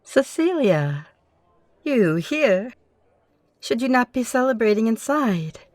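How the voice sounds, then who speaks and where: A woman's voice: a little high, big pitch swings, slow, darkish tone, no echo. A woman playing a gracious, playful vampire in an ASMR roleplay, with a formal, courteous, poised way of speaking. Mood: curious.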